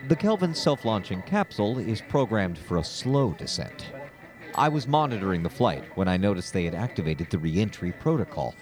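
Hesitant low-voiced scientist, lively intonation. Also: male voice, normal speed, over music. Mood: guarded, explaining. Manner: hesitant, formal, explanatory. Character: nervous male scientist, evasive, earnest about his invention. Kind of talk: audio drama acting.

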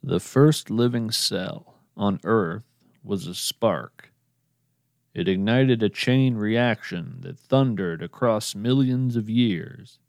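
A man narrating his podcast in a slow, measured, earnest scripted address to the listener. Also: fairly low pitch, gravelly, a little mellow, no room sound, studio quality.

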